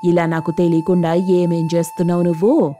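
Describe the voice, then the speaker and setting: A woman's voice: on the low side, little pitch movement, fairly quick, close to an everyday voice. A woman playing a greedy, nagging middle-aged mother-in-law who scolds and demands in a cartoon moral story. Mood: scolding. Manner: scolding, demanding, sharp-tongued. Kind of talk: dramatic Telugu moral story cartoon dialogue.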